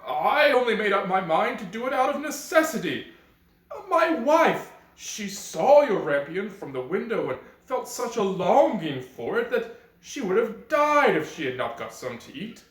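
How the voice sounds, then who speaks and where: A man's voice: high voice, sing-song, unhurried, a bit bright, roomy sound, pitched above the performer's own voice, heavily acted, rough recording. A man voicing a frightened fairy-tale husband who pleads in an earnest, anxious manner. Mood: pleading.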